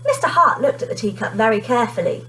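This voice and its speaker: A woman teacher reading a children's storybook aloud in an expressive narrating style. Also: fairly high pitch, fast talker, rough recording.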